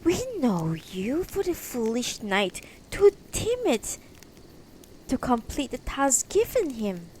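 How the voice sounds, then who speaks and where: Lady doing a bitter ghost widow in rags, high raspy taunts, storybook style, noisy recording. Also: expressive, slow pace. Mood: accusing, scornful. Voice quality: raspy, parched. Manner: taunting, lamenting, declamatory.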